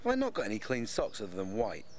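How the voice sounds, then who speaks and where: A man's voice: lowish voice, wildly swooping pitch, fast, a little mellow, a little hiss or hum. An actor playing an anxious, irritable young British office worker voicing his rambling, self-questioning inner monologue in an audio drama. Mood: muttering, hurried.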